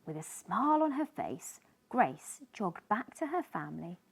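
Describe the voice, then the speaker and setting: Female children's story reader. Animated, swooping delivery at a leisurely pace. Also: mid-range voice, creaky, slightly rough. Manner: slow, animated, speaking to young children. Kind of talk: expressive read-aloud of a children's picture book.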